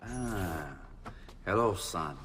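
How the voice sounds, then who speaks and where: Game father: middle-aged nobleman, low husky voice, ringing hall. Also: expressive, extremely slow, fairly bright tone, a little hiss or hum, full character voice. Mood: measured. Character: authoritative, measured Italian nobleman father. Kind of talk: video game cutscene acting.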